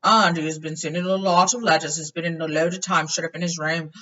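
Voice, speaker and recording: A woman's voice: low-pitched, fairly even intonation, a little fast, bright, forceful tone. A woman voicing a wry, matter-of-fact teenage wizard brother with emphatic delivery in a storybook reading. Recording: dull lo-fi, far from the mic.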